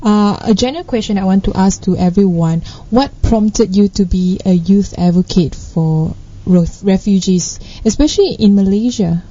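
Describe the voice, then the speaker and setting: A woman hosting a radio talk show panel, asking questions and giving soft back-channel mm-hmms. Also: medium pitch, normal speed, hardly any pauses. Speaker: host. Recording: dull lo-fi studio sound.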